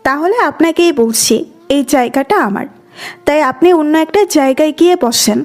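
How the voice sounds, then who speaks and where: A woman's voice: high-pitched, moderate pace, natural voice. A woman playing a feisty, prickly young woman in an audio story. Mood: irritated, curt. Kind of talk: Bengali audio story acting.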